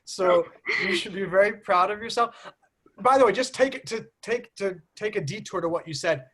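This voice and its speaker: An American man hosting a casual group video call, talking in an animated, teasing way and often mimicking accents. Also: high voice, moderate pace. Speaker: host.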